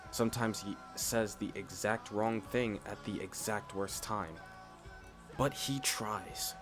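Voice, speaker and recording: Man voicing a slow-talking, blunt, stoic furry creature. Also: lowish voice. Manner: measured, blunt, short deliberate phrases with pauses. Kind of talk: scripted ASMR roleplay monologue. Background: music.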